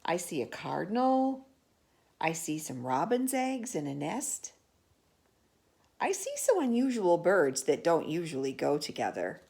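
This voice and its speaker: A woman storyteller reading a children's picture book aloud expressively, pausing to ask the listener questions. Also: fairly low pitch, big pitch swings, creaky, a little mellow.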